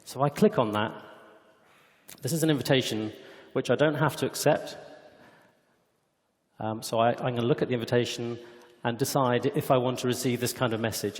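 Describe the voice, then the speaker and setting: A man presents a live demo at a conference. His voice sits in a mid pitch and he explains things step by step in a measured way. The big room adds a long reverb around him. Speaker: company executive presenting a product demo. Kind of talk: conference product demonstration. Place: conference hall stage. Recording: echoey hall with long reverb.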